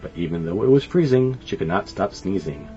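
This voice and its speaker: A man reading a children's story aloud in an animated, expressive way. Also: lowish voice, brisk. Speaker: storybook reader. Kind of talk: scripted children's story narration. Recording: dull lo-fi sound. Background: light music.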